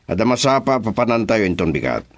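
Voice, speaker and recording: A man's voice: lowish voice, very animated, brisk. A man with an Ilocano Filipino accent playing a commanding gang boss in a radio drama, shifting between easy banter and orders.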